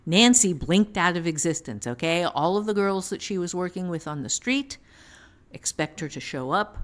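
A woman giving unscripted teaching talk on a podcast, thinking aloud in a halting manner. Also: on the low side, animated. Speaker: writing teacher, author.

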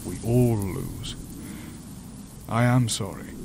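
An ancient imprisoned creature in a sci-fi horror audio drama. He speaks formally and gravely, with measured courtesy, over noticeable background ambience. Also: fairly low pitch, background noise. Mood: regretful, courteous. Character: polite, solemn ancient male creature, imprisoned and doom-prophesying.

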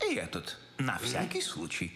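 Gravelly, excitable cartoon hero. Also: male voice, medium pitch, moderate pace, over loud music. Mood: nervous, muttering. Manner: exasperated, urgent, sardonic. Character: gruff, impatient, bossy cartoon animal hero, male. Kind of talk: animated film dialogue.

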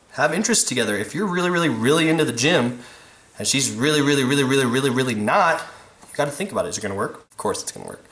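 A man's voice: medium pitch, a bit bright. Young man vlogging in an echoey room. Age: 20s. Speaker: fitness vlogger and coach. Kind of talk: casual advice talk to camera. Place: living room at home, indoors. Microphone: camera mic at about arm's length.

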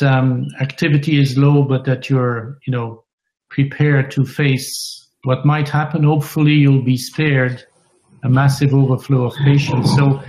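An older man interviewing doctors on a video call through a headset, asking measured, halting questions. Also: mid-range voice, darkish tone. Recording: echoey room on a video call.

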